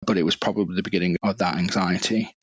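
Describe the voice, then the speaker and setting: Northern English man, fairly low voice, earnest and reflective, podcast guest, muffled dry recording. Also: fairly even intonation, fast talker, husky, no room sound. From Greater Manchester, England. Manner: reflective, earnest, with frequent fillers and restarts. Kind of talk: casual conversational interview.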